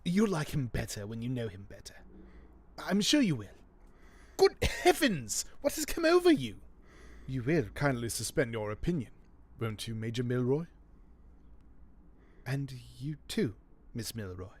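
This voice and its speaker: A man putting on a foppish young English gentleman for an audiobook, eager and a little silly. His British voice leaps up and down wildly in pitch. He sounds sincere and excitable throughout. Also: measured pace, long pauses, breathy edge, full character voice. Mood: flustered. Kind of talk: audiobook character reading.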